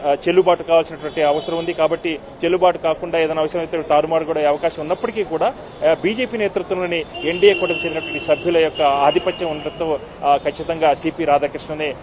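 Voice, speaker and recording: A man giving an unscripted live news report as a correspondent, halting and repetitive with frequent filled pauses. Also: on the high side, a little mellow, a little hiss or hum.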